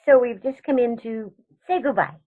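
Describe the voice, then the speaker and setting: A woman's voice: on the high side, normal speed, phone-quality sound, natural voice. A woman playing a prim elderly aunt, genteel but firm and easily flustered, in a radio stage comedy with thin, band-limited sound.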